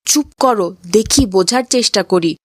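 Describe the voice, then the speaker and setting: A woman's voice: mid-range voice, moderate pace, dry recording, studio quality, close to an everyday voice. A woman voicing a brave, pious, level-headed young boy in a children's cartoon, earnest and reassuring. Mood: resolute.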